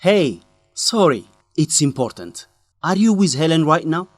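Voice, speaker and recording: An actor playing an earnest male journalist in a radio drama, speaking expressively in simple, deliberate sentences. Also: a little high, very animated, no echo. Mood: urgent, guarded. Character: earnest young male journalist breaking bad news to a friend. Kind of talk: English-learning radio drama acting.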